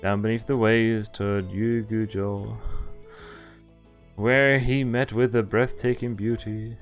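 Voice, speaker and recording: Male streamer, lowish voice, wry casual read-along of a visual novel, music underneath. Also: little pitch movement, takes it slowly. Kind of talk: casual game walkthrough, reading dialogue aloud and commenting. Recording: game music behind the voice.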